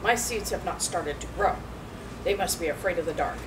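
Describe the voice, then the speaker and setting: A woman's voice: lowish voice, normal speed, voice pushed down, lightly acted. A woman voicing an impatient, anxious, childlike toad gardener in an emphatic storybook reading. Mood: worried. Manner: emphatic, shouting at times. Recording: distant mic, loud background noise.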